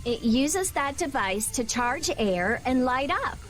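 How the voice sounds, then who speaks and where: A woman's voice: high-pitched, sing-song, slow pace, a bit bright, heavily acted. An American actress playing a brusque, brainy teenage girl mage in an anime game dub, curt and matter-of-fact.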